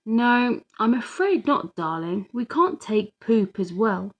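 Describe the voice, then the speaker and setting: A woman's voice: on the high side, big pitch swings, takes it slowly, heavily acted. A woman voicing an exasperated, teasing mum in a children's storybook, with an emphatic, incredulous, mock-scolding manner. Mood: firm.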